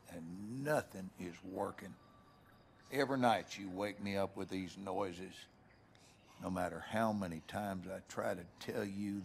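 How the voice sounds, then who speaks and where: A man's voice: low-pitched, gravelly, slight background noise, exaggerated voice. A man playing a harsh, bitter, domineering rural American father in a video game, with a folksy Southern drawl. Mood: exasperated. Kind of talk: video game drama acting. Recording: game music behind the voice.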